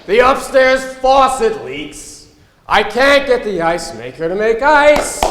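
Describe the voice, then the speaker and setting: A man's voice: very high voice, very animated, takes it slowly, background noise, heavily acted. A man playing a brazen, needy therapist in a comic stage play, speaking theatrically. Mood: exasperated, pleading. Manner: theatrical, declamatory. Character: brazen, needy male therapist burdened by household woes. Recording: echoey hall, long reverb.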